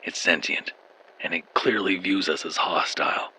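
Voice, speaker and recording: Man voicing a doomed soldier, low-pitched, sharp forceful edge, grim deliberate horror storytelling. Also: fairly even intonation, husky, heavily acted, rough recording. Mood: doomed dread. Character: frightened, doomed male soldier recounting horror in Alaska. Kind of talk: scripted first-person horror narration.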